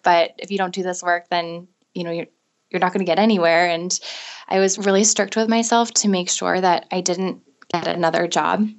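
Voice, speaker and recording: A woman in her thirties with a soft voice, telling her story as an interview guest in an earnest, conversational way. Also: fairly low pitch. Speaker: guest entrepreneur.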